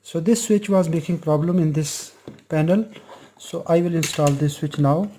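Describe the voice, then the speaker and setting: A man with a slightly high but mellow, soft-edged voice talks through an electronics repair. He explains each step slowly, in short and plain sentences, as if showing a viewer exactly what his hands are doing. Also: a little hiss or hum. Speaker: electronics repair channel host. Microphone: lapel mic.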